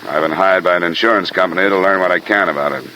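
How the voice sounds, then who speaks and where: An actor playing a dry, businesslike American insurance investigator in a radio detective drama, terse and deadpan. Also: male voice, low voice, very fast. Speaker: lead and narrator. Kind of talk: 1950s radio detective drama, narration and dialogue. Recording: noisy old radio recording.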